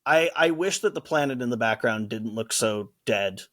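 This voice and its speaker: A man's voice: mid-range voice, expressive, normal speed, a bit bright. Enthusiastic man chatting on a podcast. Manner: enthusiastic, nostalgic, talks in restarts. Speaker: podcast co-host. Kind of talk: casual chat.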